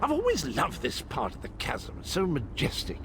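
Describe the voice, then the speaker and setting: Flamboyant game guide, high-pitched, wildly animated delivery, airy dry irony, jovially eccentric. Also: male voice, normal speed, noisy background. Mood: airy, wry. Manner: theatrical, airy, drily ironic. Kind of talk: video game character dialogue.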